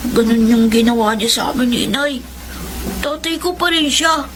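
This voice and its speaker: An actress playing a polite, innocent little schoolboy in a radio drama. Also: female voice, a little high, normal speed, lightly acted. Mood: wistful, innocent. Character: polite, forgiving little schoolboy, son and grandson. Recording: clearly noisy background.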